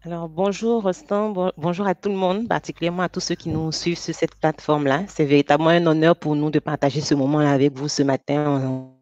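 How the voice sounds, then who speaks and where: A woman's voice: sits low, steady tone, fairly quick, hardly any pauses, darkish tone, dry recording. A woman introducing herself politely and formally on a video call. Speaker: panelist. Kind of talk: self-introduction on webinar. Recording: dull lo-fi video call audio.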